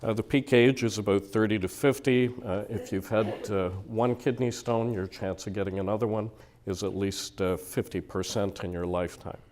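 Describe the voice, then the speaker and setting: Low, raspy man lecturing with a cold. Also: fairly even intonation, moderate pace, a little mellow, slight background noise. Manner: conversational, explanatory lecturing to a live audience. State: slightly hoarse from a cold. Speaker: surgeon giving a public lecture. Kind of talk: public lecture. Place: lecture hall before an audience.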